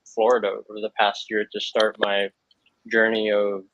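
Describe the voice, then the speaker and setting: A man's voice: lowish voice, completely flat delivery, normal speed, a bit bright, a little hiss or hum. A man from Hawaii speaking as a panel guest, earnest and thoughtful, with frequent fillers. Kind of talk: reflective panel talk. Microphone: video call. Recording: dull lo-fi, dry sound.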